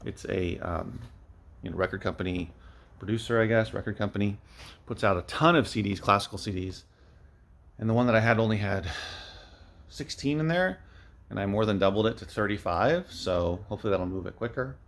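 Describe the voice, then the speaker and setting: A man with a fairly low voice talks through a casual vlog update. He rambles in an unscripted way, thinking aloud as he goes, like a small-time reseller filling viewers in. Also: brisk, gravelly, a bit bright. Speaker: vlogger, online media reseller.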